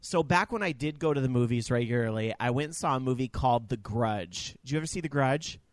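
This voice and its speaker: A man co-hosting a casual podcast, telling profane, animated stories. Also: mid-range voice, brisk, no echo. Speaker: podcast co-host. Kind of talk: casual chat.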